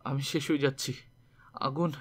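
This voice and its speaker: A man's voice: medium pitch, little pitch movement, normal speed, natural voice. A man voicing a wounded, dying robber who begs weakly in a broken, faltering storybook voice. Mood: fading, weak.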